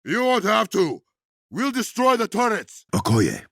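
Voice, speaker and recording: An actor playing a proud, resolute warrior king in a video game, with an African accent and formal, measured, regal diction. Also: sits high, wildly swooping pitch, gravelly, fairly bright tone, no echo, studio quality, full character voice. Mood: urgent, commanding. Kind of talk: dramatic video game voice acting.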